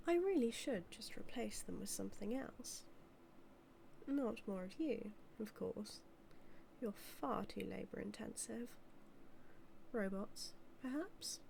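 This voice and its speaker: A woman with a British accent playing a doting, villainous mad scientist in an audio roleplay, musing in a measured, condescending yet tender way. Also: mid-range voice, creaky, warm tone, slight background noise. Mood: scornful musing. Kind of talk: scripted audio roleplay monologue.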